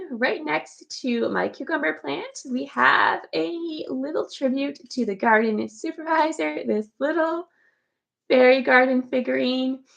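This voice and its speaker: A hoarse woman, chatting casually as host of a live garden tour from her computer room, greeting viewers by name. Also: high voice, a bit bright. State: hoarse from recent illness.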